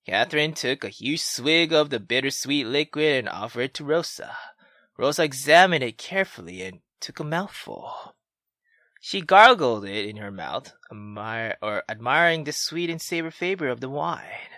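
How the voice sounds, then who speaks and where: A woman hosting a gaming video, reading a visual novel aloud with expressive, casual, sweary commentary. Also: very deep voice, normal speed, no echo. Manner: expressive, swearing, exclamatory asides. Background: faint game music.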